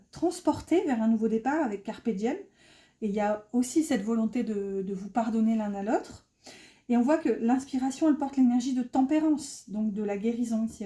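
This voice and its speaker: A woman's voice: on the high side, dark tone. Chatty woman reading tarot cards. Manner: chatty, rambling, many fillers and restarts, laughs often. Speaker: tarot reader. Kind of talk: casual spoken tarot reading.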